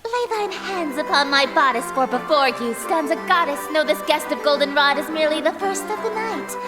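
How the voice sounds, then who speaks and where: A woman's voice: high-pitched, expressive, normal speed, over music. A woman voicing a haughty, theatrical eldritch goddess girl in a game, coy and menacing with pompous, archaic flourishes. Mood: grandly ceremonial. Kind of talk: scripted visual novel voice acting.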